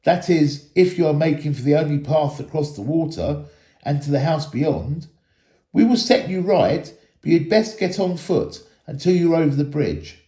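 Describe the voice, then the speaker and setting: A man's voice: fairly high pitch, lively intonation, normal speed, dark tone, natural voice. A man voicing a tall young male elf, courteous and welcoming, in a storybook reading. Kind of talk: storybook dialogue. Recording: echoey room.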